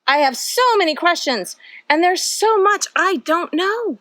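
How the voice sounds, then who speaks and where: Lady reading a wondering little storybook seagull, slow and super up and down, full of questions. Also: very high voice, animated, pushed and bright, no room sound, pitched above the performer's own voice, heavily acted. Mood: curious, puzzled. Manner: wide-eyed, questioning. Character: curious, wondering young seagull from a picture book. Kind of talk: picture book read-aloud.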